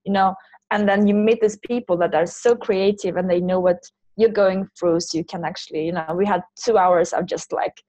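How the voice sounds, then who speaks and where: A woman's voice: mid-range voice, steady tone, moderate pace, warm tone, no room sound, rough recording. A woman from Sweden, speaking loosely phrased second-language English as a guest in a casual interview. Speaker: interview guest, online language teacher and entrepreneur.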